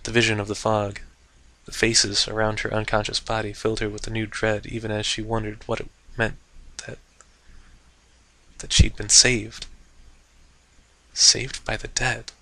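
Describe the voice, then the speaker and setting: A man's voice: lowish voice, completely flat delivery, measured pace, long pauses, sharp tone, poor audio. A man narrating a story aloud in a theatrical, dramatic storybook style. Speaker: host and narrator of fan fiction readings.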